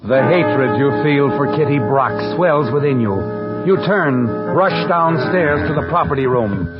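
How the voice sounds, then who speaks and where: An actor playing a sinister, all-knowing narrator in an old radio mystery, speaking in an ominous, taunting, deliberate manner. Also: male voice, a little high, close to an everyday voice. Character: sinister omniscient male narrator addressing the culprit. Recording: muffled vintage radio, loud organ-style music.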